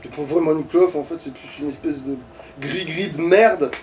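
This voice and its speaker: Man, high-pitched voice, casual let's play chatter, crude swearing, distant from the mic. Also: normal speed, darkish tone, a little hiss or hum. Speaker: let's player playing the game. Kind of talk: casual gaming chat and reactions. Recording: distant mic, music behind.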